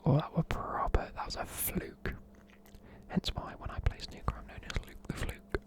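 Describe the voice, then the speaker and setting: A man, whispering softly in a chatty, rambling way while explaining words. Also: moderate pace. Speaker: ASMR creator. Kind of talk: whispered ASMR explaining slang.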